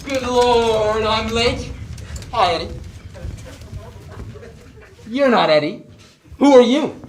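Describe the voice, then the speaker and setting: A student actor playing a flustered, indignant latecomer in a stage play, blustering loudly. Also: mid-range voice, lively intonation, slow pace, a little hiss or hum. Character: flustered, indignant performer arriving late backstage. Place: theatre stage. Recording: echoey theatre stage recording.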